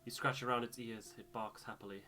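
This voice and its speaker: A man reading video game narration aloud in a measured storybook way, with casual asides as a playthrough co-host. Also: mid-range voice, fairly even intonation, sharp tone, soft background music.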